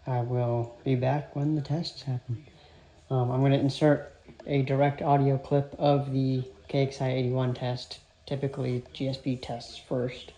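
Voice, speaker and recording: A man giving an informal, halting show-and-tell narration as a radio hobbyist. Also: medium pitch, level tone, dark tone. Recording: clearly noisy with clicks. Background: weather radio playing.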